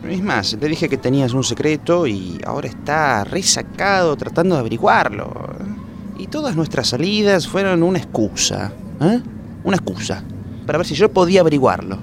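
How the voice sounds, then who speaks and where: A man's voice: fairly high pitch, sing-song, normal speed, background noise. A man playing a bumbling, childish detective in a comedy radio drama, with an Argentine accent and a hesitant, rambling delivery. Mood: nervous scheming. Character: bumbling, childish young man detective, nervous schemer and corny romantic.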